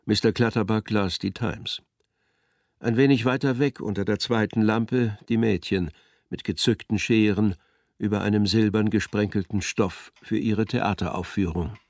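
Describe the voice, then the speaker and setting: A man narrating a literary radio drama in a measured, descriptive manner. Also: fairly low pitch, steady tone, darkish tone, dry recording, clean studio sound.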